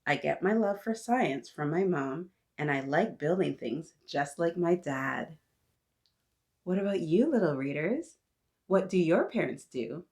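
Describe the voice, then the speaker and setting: A woman with a slightly low voice hosts a story time for little kids. She speaks like an encouraging teacher, putting questions to her young listeners and praising them as she reads aloud. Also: lively intonation, unhurried, a little mellow. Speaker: children's story-time host. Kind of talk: read-aloud for young children, talking to little viewers.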